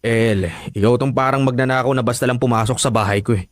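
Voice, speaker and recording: Actor as a cold, irritable Filipino boyfriend. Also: male voice, medium pitch, steady tone, quick pace, dry recording, clean studio sound, close to an everyday voice. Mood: irritated. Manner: curt, scolding. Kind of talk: radio drama acting.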